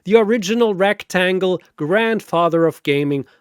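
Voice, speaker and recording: A man voicing a flamboyant, grandiose four-armed arena master of ceremonies in a fantasy audio show, announcing with drawn-out showman's emphasis. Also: high voice, clean tone, no echo, clean studio sound, voice pushed up, close to an everyday voice. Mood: hyped announcing.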